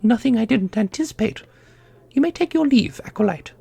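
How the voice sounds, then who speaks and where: A woman playing an ancient female custodian in a fantasy audio drama, dignified and sorrowful, speaking in a formal, measured, gentle way. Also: on the high side, animated, gravelly, husky, full character voice. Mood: composed, firm.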